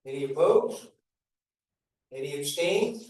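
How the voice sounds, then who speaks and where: A man chairing a town meeting, moving briskly through motions and agenda items. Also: fairly high pitch, lively intonation, darkish tone. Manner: brisk, procedural. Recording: echoey room, distant mic.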